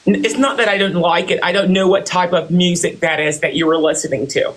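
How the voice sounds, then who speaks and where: A man doing a condescending boss-and-CEO character on a live stream, full of airs. The voice sits quite high and keeps slipping in "dear" and "honey" as he talks down to the other person. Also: fairly even intonation, a little fast, fairly bright tone. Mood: smug. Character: haughty self-styled boss and CEO, male. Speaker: livestream host in character. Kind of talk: improvised live-stream roleplay.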